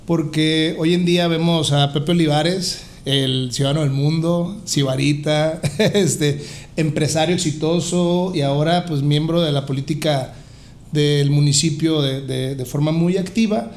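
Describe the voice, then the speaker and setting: A man's voice: on the high side, steady tone, a little slow. A man with a Mexican accent hosting a podcast in a casual, jovial, joking way. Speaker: podcast host. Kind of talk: casual interview chat.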